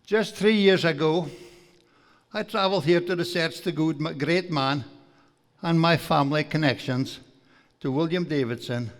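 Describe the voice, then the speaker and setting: A man's voice: a little high, moderate pace. An older man from Aberdeenshire with a broad Doric Scottish accent, giving a jovial after-dinner lecture at a stand mic in a large hall. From Aberdeenshire, Scotland. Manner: jovial, folksy, reading from notes. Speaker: guest speaker. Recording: long hall reverb.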